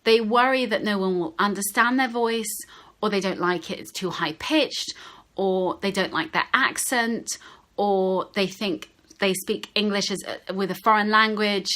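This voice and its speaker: Woman, high-pitched voice, speaking coach, slow deliberate delivery, stressed key words, talking to camera. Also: a bit bright. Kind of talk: direct-to-camera advice talk.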